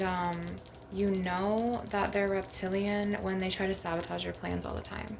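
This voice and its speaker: A woman hosting a casual spiritual ASMR monologue to viewers, talking in a rambling, conversational way. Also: medium pitch. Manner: rambling, with frequent "like" and "you know". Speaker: ASMR channel host. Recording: loud background noise with tapping and clicking. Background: crystal and glass tapping, clicks, background noise.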